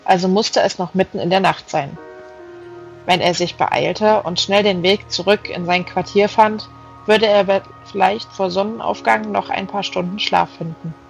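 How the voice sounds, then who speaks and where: Audiobook narrator, mid-pitched voice, reading literary prose with music underneath. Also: steady tone, normal speed, creaky. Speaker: audiobook reader.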